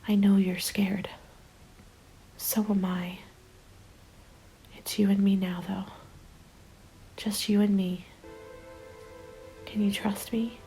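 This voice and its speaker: Weary female apocalypse survivor, played by a woman, ASMR narration: hushed and whispery, dark mellow tone, flat melody, slow delivery. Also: mid-range voice, soft background music, low-quality recording. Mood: soothing, tense. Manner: hushed, slow, breathy, often dropping to a whisper. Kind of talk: ASMR roleplay, scripted first-person narration.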